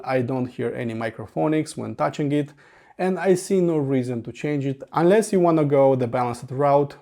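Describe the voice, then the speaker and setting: A Romanian-accented man, a native Romanian speaker, walks through a headphone review in a steady, methodical way, stopping often on uh fillers. His mid-range voice has a gravelly, creaky edge. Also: mid-range voice. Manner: earnest, methodical, with frequent uh fillers. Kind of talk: scripted product review voice-over.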